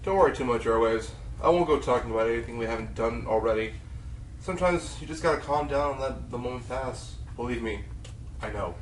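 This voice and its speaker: A man playing an eager, excitable young pony reporter in a radio play, speaking expressively. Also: medium pitch, fairly quick, noisy background, natural voice. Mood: reassuring. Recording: roomy distant sound with faint music.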